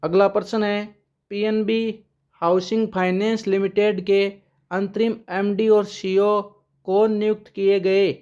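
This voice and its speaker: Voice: mid-range voice, level tone, a little slow, darkish tone, smooth, muffled recording. A current affairs teacher giving an exam-prep lesson with memory tricks, who asks questions aloud, answers them and repeats the key names.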